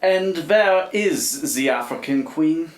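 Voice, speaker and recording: Stern, animated ship's captain. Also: male voice, on the high side, slow pace. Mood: incredulous. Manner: commanding, clipped, formal. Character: stern, imperious German naval captain, pompous and incredulous. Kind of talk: live radio play acting. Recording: roomy live stage recording.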